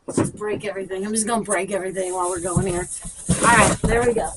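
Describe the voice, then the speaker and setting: A woman's voice: mid-range voice, steady tone, quick pace, heavy background noise. A woman chatting casually and thinking aloud as she demonstrates for a garden vlog. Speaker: garden vlogger.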